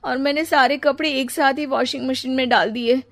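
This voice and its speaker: A high, girlish young wife acted by a woman narrator from a storybook tale. She is fussy about fitness and quick to apologise, with her voice sitting well up in pitch. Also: steady tone, vocal fry, fairly bright tone. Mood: flustered, apologetic. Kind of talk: storybook character voice.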